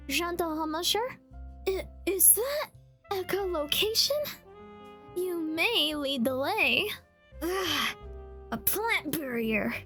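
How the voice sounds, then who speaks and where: A woman's voice: sits high, a bit bright, exaggerated voice. A woman playing a theatrical, confident young lady with water magic in a fan dub, with grandiose delivery.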